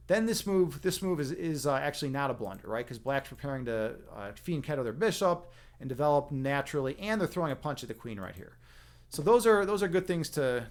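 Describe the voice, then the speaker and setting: A man hosting a casual livestream, explaining chess lines as a teacher, rambling with fillers and frequent tag questions. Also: fairly high pitch.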